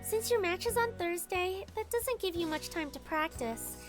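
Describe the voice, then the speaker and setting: An actress playing a thoughtful, gentle teenage schoolgirl in a visual novel. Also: very high voice, lively intonation, normal speed, faint music behind.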